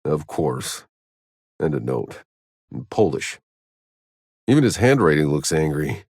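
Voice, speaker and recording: A man's voice: rumbling low, big pitch swings, unhurried, a little mellow, husky, no echo, heavily acted. An actor playing a hardened, weary male soldier in a sci-fi video game, speaking in a terse, dry, sardonic way. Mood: terse, wry. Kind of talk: scripted game voice lines.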